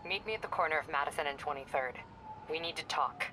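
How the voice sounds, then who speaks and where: A woman's voice: low voice, fast talker, pushed and bright, slight background noise. An actress playing a stern, stubborn female ex-police captain turned vigilante who speaks in a cool, controlled, determined way in a video game cutscene. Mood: cold. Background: game score music.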